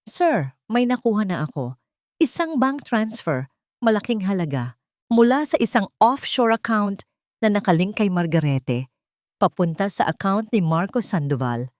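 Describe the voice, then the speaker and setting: A woman's voice: medium pitch, sing-song, a little slow, a little mellow, no echo, clean studio sound, voice pushed up. A woman narrator voicing a respectful male investigator who reports urgently in clipped phrases in an audiobook drama.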